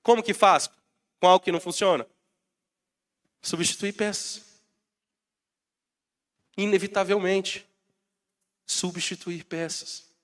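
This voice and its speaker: High-pitched Brazilian pastor preaching with a cold. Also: male voice, steady tone, slow pace, long pauses, a bit bright. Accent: Brazilian Portuguese. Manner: rousing, calls out to the congregation. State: has a bad cold. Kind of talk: sermon.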